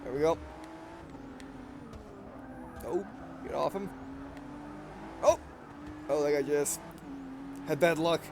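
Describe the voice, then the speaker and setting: A man's voice: on the high side, slow pace, creaky, pauses often, noisy background. A man with an accent mixing Southern and Russian features, rambling and correcting himself as he gives casual commentary on a racing game he is playing. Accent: mixed Southern and Russian. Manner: rambling, self-correcting, excitable reactions. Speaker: let's play gamer.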